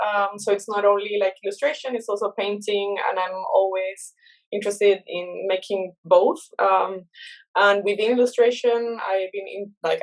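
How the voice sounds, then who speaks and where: A woman in her thirties speaking English with a Chilean Spanish accent. She is a guest in an interview, and she talks in a thoughtful, halting way with many fillers and self-corrections. Her pitch sits in the middle of the usual range for a woman. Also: poor audio. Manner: thoughtful, many fillers and restarts, laughs often. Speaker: guest artist. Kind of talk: casual interview chat.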